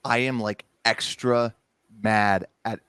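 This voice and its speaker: A man's voice: on the low side, steady tone, slow pace, fairly bright tone, a little hiss or hum. A man who is a guest on a casual sports podcast, agreeing readily and laughing easily as he talks.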